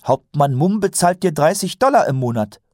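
A man's voice: fairly high pitch, sing-song, normal speed, a little mellow, dry recording, clean studio sound, voice pushed up. A man voicing an earnest, naive and eager young watch recruit in an audiobook, with a matter-of-fact manner. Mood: eager, dutiful. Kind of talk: audiobook character voice.

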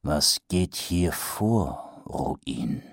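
A man voicing a weary, gloomy male watch captain who speaks slowly with dry sarcasm. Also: low voice, animated, no echo, heavily acted. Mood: dry skeptical. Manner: slow, dry and sardonic.

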